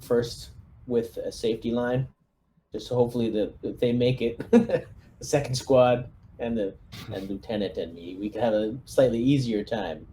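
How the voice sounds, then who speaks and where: A man's voice: medium pitch, normal speed, mellow, a little hiss or hum. A man playing a tabletop game as squad leader, chatting casually and partly in character.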